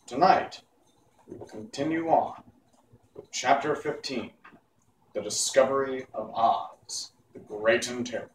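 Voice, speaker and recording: A man reading a children's novel aloud as host, in a measured, theatrical storytelling manner. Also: mid-range voice. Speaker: host and reader. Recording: echoey room, distant mic, faint noise.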